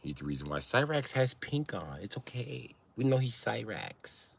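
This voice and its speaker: A man hosting a casual livestream, teasing and swearing as he banters with viewers in an animated, exaggerated way. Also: moderate pace, creaky. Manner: teasing, profane mockery; animated, breaks into high-pitched exaggerated mimicry. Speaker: livestream host chatting with viewers.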